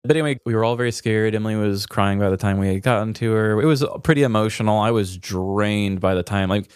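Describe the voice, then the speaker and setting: Female podcast co-host with a low-pitched voice, casual conversational storyteller, dry studio-like sound. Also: fast, darkish tone, clean tone, no room sound. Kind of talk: casual chat, personal storytelling.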